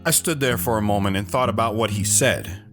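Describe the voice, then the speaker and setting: A man's voice: lowish voice, brisk, over music, lightly acted. A man playing an earnest, lovestruck lone wanderer recounting his life in a reflective, sentimental audio drama style. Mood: wistful, reflective. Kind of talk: dramatic first-person audio drama narration.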